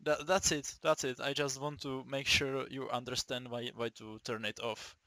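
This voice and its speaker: A young man from the Czech Republic with a Czech accent, giving a casual, halting gaming tutorial, swearing often and restarting his sentences. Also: mid-range voice, little pitch movement, sharp tone, no room sound. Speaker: gaming video maker.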